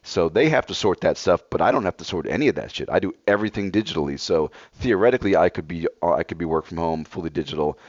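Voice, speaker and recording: A man with a deep, low voice, a bit scratchy, talks in a laid-back and blunt way, swearing now and then. The sound is dull and lo-fi, recorded dry with no room echo. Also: expressive, fast talker, hardly any pauses, darkish tone. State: slightly scratchy voice from illness. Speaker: podcast co-host. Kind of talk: casual chat.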